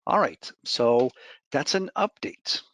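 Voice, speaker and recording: A man presenting a software demo in an informal, conversational way, explaining each step as he goes over a screen share. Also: medium pitch, expressive, takes it slowly.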